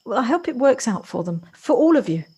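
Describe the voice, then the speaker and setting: A woman acting out an earnest, courteous visitor in an audio play. Her voice sits fairly high, and she speaks tentatively, tripping gently over her words as she tries to be kind. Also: very animated, a little fast, breathy edge. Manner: hesitant, stammering, polite. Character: polite, hesitant woman visitor to a small town, kindly and earnest. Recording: amateur home recording on personal device.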